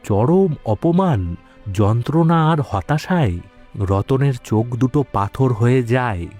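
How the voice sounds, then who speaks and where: Male storyteller: dark-toned, animated, dramatic scripted narration with music underneath. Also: mid-range voice, slow.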